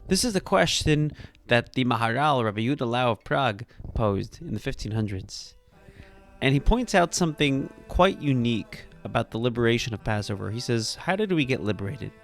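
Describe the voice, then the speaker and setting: Rabbi, male, gravelly mid-pitched voice, earnest and emphatic teaching delivery, soft music bed. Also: brisk. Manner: earnest, emphatic, builds to animated peaks. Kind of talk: sermon-style teaching.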